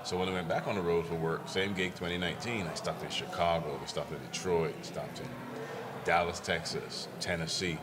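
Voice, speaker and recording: Deep-voiced man from Barbados, telling stories. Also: fairly even intonation, a little fast, heavy background noise. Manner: storytelling, acts out quoted voices in a high pitch. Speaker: comedian guest. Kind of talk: casual interview chat.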